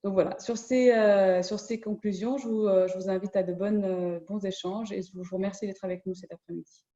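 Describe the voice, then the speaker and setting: Woman wrapping up on a video call, mellow voice, roomy echo, halting. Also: mid-range voice, steady tone, lo-fi sound. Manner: hesitant, repeats words. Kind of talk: closing remarks at an online meeting.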